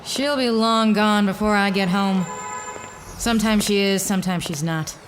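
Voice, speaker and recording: Lady doing hardboiled radio comedy detective, terse noir narration, soft music under. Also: mid-range voice, normal speed, lightly acted. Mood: wary.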